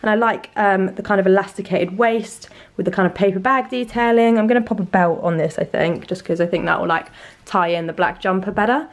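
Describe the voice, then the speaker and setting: A young woman talks to camera in a relaxed, rambling way, full of "um" and "like." Her voice is a little rough from a lingering cold. It's recorded on a camera held at arm's length in a bedroom at home. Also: medium pitch, a little fast. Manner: chatty, lots of "um" and "like". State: slightly sore throat from a cold. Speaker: vlogger. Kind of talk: casual chat to camera. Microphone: handheld camera, arm's length or mirror.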